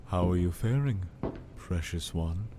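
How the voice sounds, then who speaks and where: A manipulative cult lord played by a man speaks slowly and deliberately in a fairly low voice that rises and falls dramatically. He is falsely tender and condescending, a formal radio-play tyrant, over a faintly noisy recording. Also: lively intonation. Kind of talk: dramatic audio drama acting.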